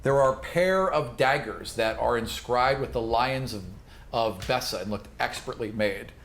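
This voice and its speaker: A man's voice: medium pitch, normal speed, a bit bright. A man running a tabletop role-playing game, describing things in an informal, off-the-cuff way with casual asides. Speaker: game master.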